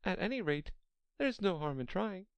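A woman's voice: lowish voice, sing-song, normal speed, smooth, dry recording, pitched above the performer's own voice. A woman voicing a polite, chatty little girl in a children's storybook, earnest and apologetic as she rambles on. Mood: wondering.

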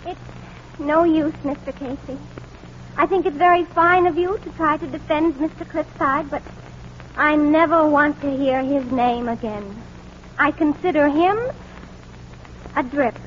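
A woman's voice: sits high, a little slow, long pauses. A woman playing a dramatic seventeen-year-old girl with an affected, emphatic manner in a 1940s radio drama. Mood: haughty. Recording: dull noisy 1940s radio recording.

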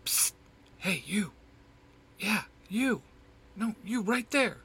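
A man's voice: high voice, expressive, takes it slowly, pushed and bright, husky. A man doing a cheeky, chatty advertisement that speaks straight to the listener like a salesman. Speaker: podcast promo announcer. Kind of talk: chatty direct-address advertisement pitch. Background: faint music.

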